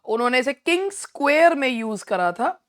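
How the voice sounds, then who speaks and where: An Indian woman with a hoarse, sore throat teaching an online English exam-prep lesson in a chatty way, often clearing her throat. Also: sits high, very animated, normal speed.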